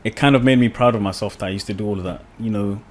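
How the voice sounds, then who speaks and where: A young man from the UK, a podcast guest, talking in a relaxed, rambling way with many fillers. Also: on the low side, racing. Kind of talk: casual podcast conversation.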